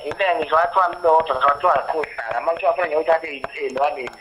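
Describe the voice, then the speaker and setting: A man's voice: on the high side, fast, fairly bright tone. A South African man talking casually over the telephone. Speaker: musician taking a phone call. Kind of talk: casual phone conversation. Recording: noisy, humming phone line.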